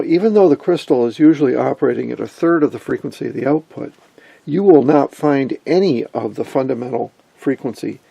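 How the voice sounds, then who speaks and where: A middle-aged man giving an informal hands-on tutorial in an unhurried, folksy manner. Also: mid-range voice, gravelly, warm tone, slightly rough, no echo. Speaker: electronics hobbyist presenter. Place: indoor electronics workbench.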